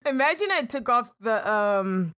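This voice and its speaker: A woman co-hosting a podcast, chatting playfully with quick asides. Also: on the high side, lively intonation, noisy background. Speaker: podcast co-host. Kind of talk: casual chat.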